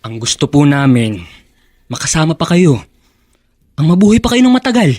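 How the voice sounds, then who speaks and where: An affectionate young grandson in a radio drama. His high voice is tender and respectful, recorded very dry and close. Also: sing-song, moderate pace, a little mellow, dry recording. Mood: earnest, worried.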